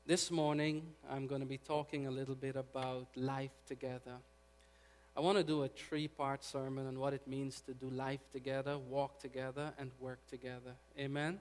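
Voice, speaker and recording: A man from Guyana with a Guyanese accent, preaching a sermon to his congregation and swinging between quiet prayer and loud, emphatic preaching. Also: mid-range voice. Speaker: pastor.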